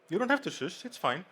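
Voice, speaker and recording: Lively Icelandic man joking with a crowd. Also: high-pitched, breathy edge. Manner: playful, jokey, bantering with the audience. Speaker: conference speaker. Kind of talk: humorous live conference talk. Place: conference hall stage.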